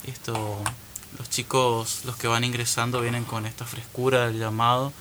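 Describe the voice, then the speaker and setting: A man's voice: medium pitch, flat delivery, normal speed, background noise. A young man, a newly ordained priest, speaking earnestly and reflectively in a radio interview, laughing readily.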